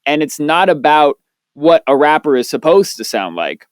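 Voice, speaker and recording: A man's voice: a little high, normal speed, smooth, dry recording, studio quality. A young man, a podcast co-host, chatting casually, swearing freely and telling stories with energy. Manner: laid-back, swears freely, animated storytelling. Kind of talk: casual chat.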